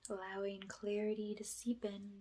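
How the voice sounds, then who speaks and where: A woman speaking in a hushed, often whispered way, guiding a spiritual ASMR energy cleansing as a healer. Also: medium pitch, level tone, moderate pace.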